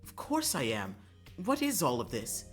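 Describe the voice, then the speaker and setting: A haughty young princess, read by a man, curt and defiant. The delivery is expressive, in visual novel style, with faint music underneath. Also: a little high, measured pace, voice pushed up. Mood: haughty, questioning. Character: haughty, stubborn, easily annoyed young princess.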